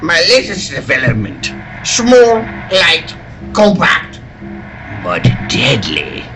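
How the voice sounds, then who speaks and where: A man playing an excitable scientist and inventor in a dramatic, emphatic old-time radio style. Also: on the high side, slow pace, background noise, exaggerated voice. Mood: proud, intense. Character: excitable male scientist, inventor of a deadly machine.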